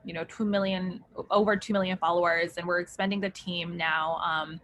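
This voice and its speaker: A woman's voice: on the low side, steady tone, normal speed, fairly bright tone. A young woman from South Korea, a startup founder answering interview questions in a chatty way with many fillers and restarts.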